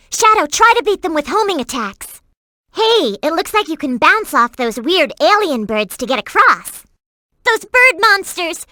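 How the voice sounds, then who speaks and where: A woman's voice: very high voice, pushed and bright, dry recording, exaggerated voice. A woman voicing a perky, earnest and bossy young girl companion in a video game, with emphatic delivery. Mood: urgent, alarmed. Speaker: in-game hint-giving companion.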